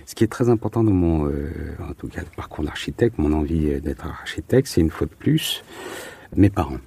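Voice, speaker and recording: Man in his forties, dark husky timbre, searching phrasing, reflective interview answers. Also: very deep voice, expressive, normal speed. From Marseille, southern France. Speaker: interview guest, architect.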